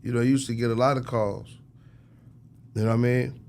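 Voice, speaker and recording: A man's voice: mid-range voice, level tone, a little fast, a little mellow. A man talking casually as an interview guest, telling stories in an emphatic, slangy way and repeating phrases for effect. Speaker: guest, record executive.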